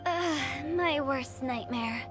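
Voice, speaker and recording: A woman's voice: sits high, slow pace. A woman voicing a cheeky, theatrical young female funeral director in a video game, playful in manner. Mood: playful, teasing. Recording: loud music under voice.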